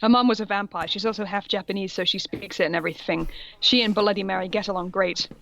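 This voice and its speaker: A woman's voice: medium pitch, fairly even intonation, quick pace, gravelly, a bit bright, low-quality recording. A woman co-hosting a comic reading of fan fiction, narrating mock-seriously and giggly, with asides. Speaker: co-host.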